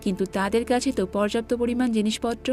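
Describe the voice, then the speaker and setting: Female recap narrator, mellow and slightly high, explanatory scripted storytelling over background music. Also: fairly even intonation, fast.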